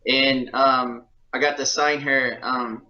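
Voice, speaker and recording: A man's voice: mid-range voice, little pitch movement, slow, pushed and bright, dull audio. A man in a band, guest on a podcast over a call, joking around with giggly, stumbling restarts. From Lafayette, Louisiana. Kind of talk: casual interview chat.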